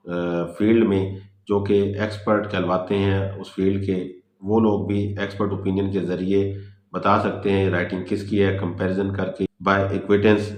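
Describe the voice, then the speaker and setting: A man with a Pakistani accent giving an explanatory law lecture, repeating points and mixing in English legal terms. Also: low-pitched. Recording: echoey room sound.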